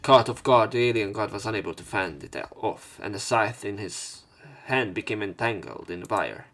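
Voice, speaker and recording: Low-pitched man narrating a game. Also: lively intonation, moderate pace, fairly bright tone. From Hungary. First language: Hungarian. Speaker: let's play narrator. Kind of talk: dramatic read-aloud narration and casual commentary. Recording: game music behind the voice.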